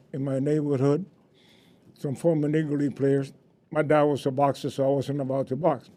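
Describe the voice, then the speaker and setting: A man's voice: fairly high pitch, warm tone. An older man from Cuba with a Cuban Spanish accent, a former ballplayer earnestly recounting memories on a panel. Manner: earnest, proud, with restarts. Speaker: panel guest, former baseball player.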